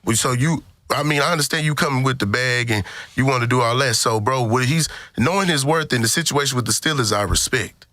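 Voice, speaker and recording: Bright-voiced American man, emphatic and profane. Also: mid-range voice, fairly quick, no echo. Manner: opinionated, emphatic, profane, repeats phrases for emphasis. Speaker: podcast co-host. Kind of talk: casual sports debate.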